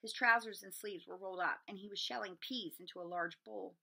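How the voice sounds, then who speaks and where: A woman reading a children's story aloud in an expressive, dramatic storytelling manner. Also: mid-range voice, fairly quick, creaky. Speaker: read-aloud narrator.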